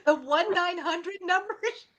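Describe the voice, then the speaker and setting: A woman's voice: very high voice, a bit bright, rough recording. A woman co-hosting a live sale, joking playfully and laughing easily. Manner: playful, jokey, quick to laugh. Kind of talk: casual joking chat.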